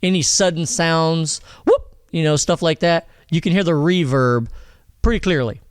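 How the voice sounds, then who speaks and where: A man explaining vocal mixing in a relaxed, rambling tutorial, often checking in with "all right?" and "okay?". He has a thin voice and is talking in his home studio. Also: fairly high pitch, normal speed, smooth, no echo. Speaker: music producer teaching vocal mixing.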